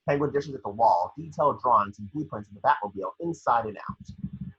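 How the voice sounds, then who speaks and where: Husky man narrating screenplay action. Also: medium pitch, animated, brisk, fairly bright tone, muffled recording. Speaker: narrator reading scene descriptions.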